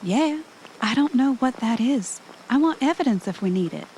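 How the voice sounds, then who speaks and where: A fond wife on holiday in a conversational radio play, acted by a woman. Her voice is on the high side, chatty and gently chiding, with faint noise behind it. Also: lively intonation, moderate pace, low-quality recording. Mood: worried. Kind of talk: audio drama acting, conversational.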